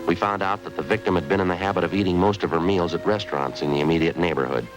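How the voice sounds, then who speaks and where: A man's voice: deep voice, very fast, natural voice, low-quality recording. An actor playing a terse male homicide detective who narrates the case in an old radio drama. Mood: narrating. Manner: flat, clipped, matter-of-fact. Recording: old radio recording with music.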